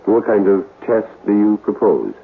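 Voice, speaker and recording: An actor playing a bold, questioning, stubborn ghost hunter in a radio drama. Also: male voice, lowish voice, very animated, normal speed, gravelly. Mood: bold, uneasy. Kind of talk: 1970s radio drama acting. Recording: thin phone-like old radio recording, hiss.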